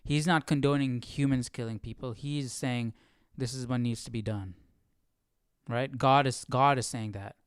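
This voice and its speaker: Smooth, dry-sounding man thinking out loud. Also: medium pitch, fairly even intonation, normal speed, no echo. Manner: musing, hesitant, self-questioning. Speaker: livestream Bible study host. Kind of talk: informal reading aloud and thinking out loud.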